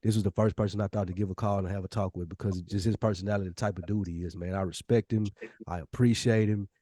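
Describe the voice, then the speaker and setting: Podcast host, male, Southern US accent, lowish voice, slangy storyteller, dry recording. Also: fairly even intonation, fast talker, mellow, no room sound. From Nashville, United States. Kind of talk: casual podcast chat.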